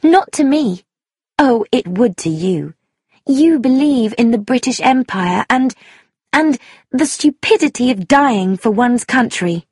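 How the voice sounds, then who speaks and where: A woman's voice: on the high side, expressive, unhurried, a little mellow, slightly rough, dry recording, studio quality, voice pushed up. A woman voicing an angry, bitter young woman in an audiobook, emphatic and defiant. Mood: bitter, scornful. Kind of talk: audiobook character dialogue.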